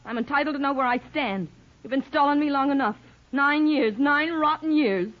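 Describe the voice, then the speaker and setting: An actress playing a sardonic, bitter secretary and long-suffering mistress in an old-time radio melodrama, with a pointed manner. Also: female voice, high-pitched, moderate pace, natural voice. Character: bitter, sharp-tongued secretary, long-strung-along mistress. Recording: muffled, noisy old radio recording.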